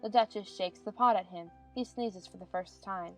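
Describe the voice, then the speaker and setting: A woman's voice: medium pitch, normal speed, a bit bright, faint music behind. A woman reading scripted stage narration for a dramatized audiobook.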